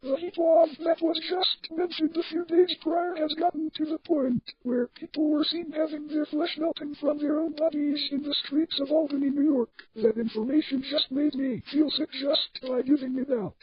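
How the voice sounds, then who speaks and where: A high-pitched news anchor voiced by a performer delivers scripted breaking news in a fictional broadcast. The sound is dull and lo-fi, with very little room around the voice. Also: steady tone, normal speed, few pauses, dry recording, close to an everyday voice. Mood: overheated, distressed. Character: TV news anchor reporting a deadly heat wave.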